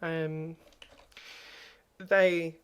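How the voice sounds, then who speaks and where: Crackly, flat, hesitant New voice. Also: medium pitch, very slow, clear, resonant tone. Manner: subdued, hesitant, frequent ums. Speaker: YouTuber. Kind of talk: personal vlog talk to camera.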